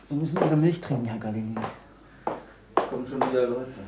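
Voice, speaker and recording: A man's voice: medium pitch, brisk, natural voice. A male actor playing an earnest, curious boy pupil in a 1960s German radio drama, speaking in a plain, questioning way. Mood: matter-of-fact. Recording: faintly noisy old radio recording.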